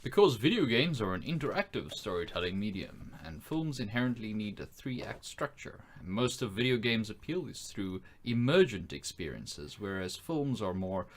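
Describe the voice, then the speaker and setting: A man's voice: lowish voice, big pitch swings, moderate pace, few pauses. A man co-hosting a casual podcast, speaking in an articulate, analytical and sarcastic way. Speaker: podcast co-host.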